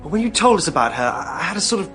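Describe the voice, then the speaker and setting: An excitable, easily riled British fiancé in a radio play. His fairly high voice jumps about in pitch as he blurts things out, over faint music. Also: rapid-fire. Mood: alarmed. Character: hot-tempered, agitated British fiancé, indignant and fretful. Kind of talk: radio drama acting.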